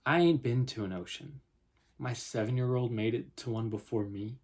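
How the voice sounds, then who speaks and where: A man's voice: low-pitched, fairly even intonation, moderate pace, a little mellow, lightly acted. A man reading the part of a weary, defensive Texan mother in a story, blunt and exasperated. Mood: weary, flat.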